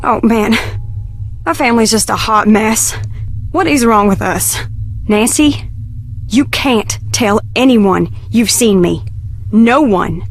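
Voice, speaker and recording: A woman's voice: a little high, lively intonation, slow, long pauses, a little hiss or hum. An actress voicing an anxious, exasperated young woman in hiding in a video game, guarded and pleading. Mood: wary.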